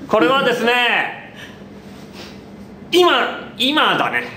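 A man's voice: huge pitch swings, drawn-out words, pushed and bright. A young man playfully hosting a quiz, teasing and mock-bossy, on a noisy webcast from an echoey studio. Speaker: quiz host. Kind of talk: playful game-show banter. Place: small indoor studio, close to camera. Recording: echoey room, noisy low-quality webcast.

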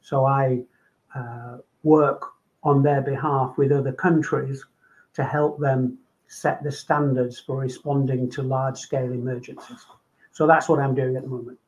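A man's voice: medium pitch, flat delivery, measured pace. An older man from Manchester with a Manchester accent, talking about his work and life on a video call from home, with many ums and repeated words. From England. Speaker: guest doctor. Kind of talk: casual video call interview. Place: home room. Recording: dull lo-fi video call audio.

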